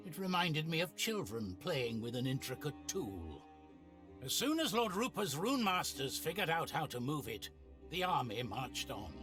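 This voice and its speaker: An actor playing a detached, cynical male chronicler, narrating a video game story in a measured, knowing, theatrical way. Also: a little high. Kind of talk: dramatic scripted game narration. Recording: prominent music behind the voice.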